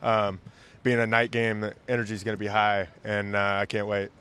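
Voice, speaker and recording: A man's voice: on the low side, monotone, fairly quick, a bit bright, clear, resonant tone. A young man from Nebraska, a college football player, giving short, plain interview answers. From Lincoln, Nebraska. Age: young adult.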